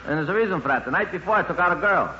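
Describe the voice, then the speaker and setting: Male Brooklyn-accented comedian, fairly high voice, rambling colloquial storytelling, 1950s radio monologue, echoey sound. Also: lively intonation, racing. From Brooklyn, New York, USA. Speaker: stand-up comedian. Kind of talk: 1950s comic monologue to a live audience. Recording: roomy, muffled, noisy old radio recording.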